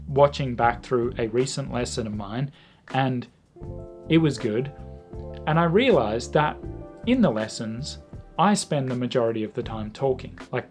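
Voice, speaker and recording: A man talking casually to camera about language learning, reflective and self-deprecating, with many "like"s. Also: medium pitch.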